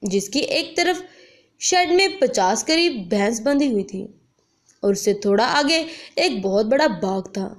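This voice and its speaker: Woman storyteller with a high, animated voice. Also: measured pace. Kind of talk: scripted dramatic story narration.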